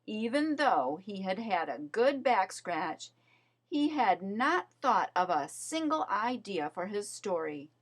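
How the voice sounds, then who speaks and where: Slow, expressive woman reading a storybook. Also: mid-range voice. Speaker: storybook reader. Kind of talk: children's story narration.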